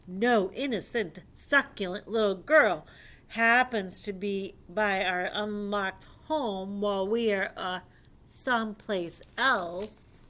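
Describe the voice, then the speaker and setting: A woman's voice: medium pitch, slow pace, bright, forceful tone. A woman plays a scheming mama dinosaur in a children's storybook. She feigns innocence, stretching her words slyly. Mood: mock-innocent, sly. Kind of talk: picture-book character voice.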